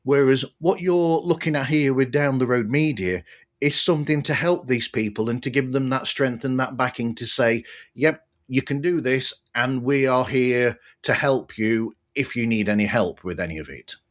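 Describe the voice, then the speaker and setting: A man's voice: mid-range voice, moderate pace. A man hosting a conversational podcast interview, rambling a little as he thinks aloud. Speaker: podcast host.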